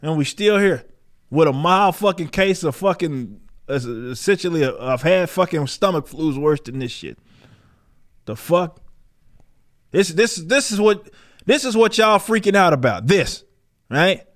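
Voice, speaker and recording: A man's voice: on the high side, animated, measured pace, a bit bright. A man giving casual, opinionated podcast commentary in a combative, emphatic manner with plenty of swearing. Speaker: podcast host.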